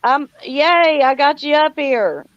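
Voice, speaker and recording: A woman talks at an unhurried pace in a folksy, casual manner, as a livestream host chatting with viewers. She calls people honey and reads comments aloud. The phone audio is dull and very dry. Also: sits high, expressive, no echo. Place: outdoors, on a phone.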